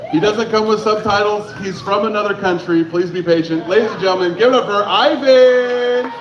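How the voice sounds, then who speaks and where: A man's voice: high voice, normal speed. A man hosting a comedy night in a club, bantering and bringing on the next comedian. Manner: loose banter, introducing comedians. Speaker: comedy show host. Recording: echoey lo-fi club with crowd.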